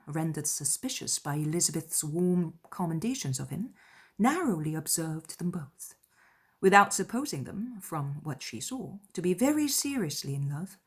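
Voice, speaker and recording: A woman narrates a classic novel in a scripted literary style. Her voice sits on the low side and has a husky, breathy texture. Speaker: audiobook narrator.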